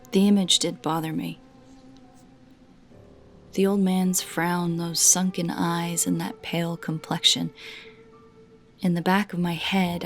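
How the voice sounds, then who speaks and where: A woman narrating a scripted first-person creepy story in a measured way, with dramatic pauses between short phrases. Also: fairly low pitch, gravelly.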